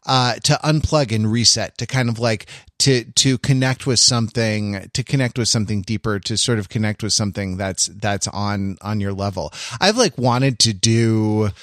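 A man's voice: on the low side, fairly bright tone, dry recording. Rambling man chatting, close dry sound. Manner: rambling, halting, circles back to rephrase. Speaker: podcast co-host. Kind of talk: casual conversational chat.

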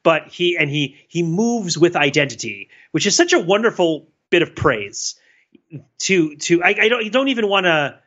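A man co-hosts a podcast in a casual, analytical chat, often pausing and restarting as he works through a thought. His voice sits a little high and sounds bright and forward. The audio is a little dull and lo-fi. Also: animated. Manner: thoughtful, many fillers and restarts. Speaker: podcast co-host.

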